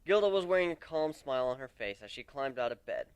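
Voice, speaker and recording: A man reads a fantasy story aloud as its narrator, with a very animated pitch. His delivery is conversational and dramatic, recorded close and dry. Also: quick pace, smooth, dry recording. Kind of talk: dramatic first-person story reading.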